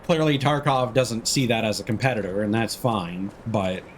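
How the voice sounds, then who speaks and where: A man's voice: on the low side, normal speed, slight background noise. A man chatting casually and thinking aloud as he commentates a video game on a live stream.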